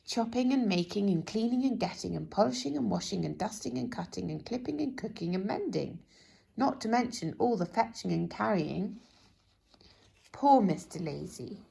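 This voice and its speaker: A woman narrates a children's bedtime story in a medium-pitched voice with a noticeable creak. She reads expressively and gently, drawing words out and sinking into a hushed tone. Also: lively intonation, moderate pace. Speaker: storybook reader.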